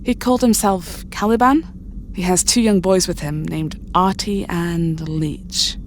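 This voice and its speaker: An actress playing a weary telepathic heroine, articulate and proper, in a superhero audio drama. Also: female voice, fairly low pitch, fairly even intonation, unhurried, a little hiss or hum. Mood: brisk, tired.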